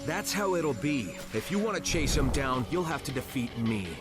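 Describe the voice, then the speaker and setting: A man's voice: medium pitch, lively intonation, moderate pace, lightly acted. An actor playing a brash, hot-headed young villain who taunts his foes in a dramatic video game dub. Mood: cocky. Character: cocky, hot-headed young male villain, vain and vengeful. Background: dramatic game music.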